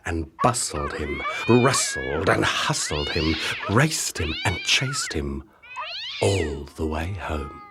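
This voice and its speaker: Low-voiced man reading a children's story, very lively. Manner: dramatic, measured storytelling with rhythmic rhyming delivery. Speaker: audiobook narrator. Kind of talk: expressive children's picture book reading. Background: music.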